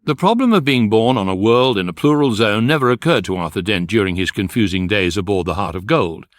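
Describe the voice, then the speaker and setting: An actor playing a wry, knowing guidebook that narrates a radio comedy in a measured, ironic way. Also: male voice, fairly low pitch, lively intonation, dry recording, close to an everyday voice. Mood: mock-portentous.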